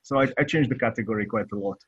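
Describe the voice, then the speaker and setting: A man with a Romanian accent, a guest developer answering interview questions in halting speech full of hesitations. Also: on the low side, breathy edge, no room sound, highs cut off. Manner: halting, many hesitations and restarts.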